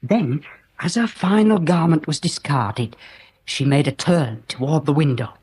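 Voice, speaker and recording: A man's voice: fairly high pitch, unhurried, darkish tone, slightly rough. A man acting an earnest, deferential junior policeman in a radio drama, formally and hesitantly giving a report. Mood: earnest, awkward.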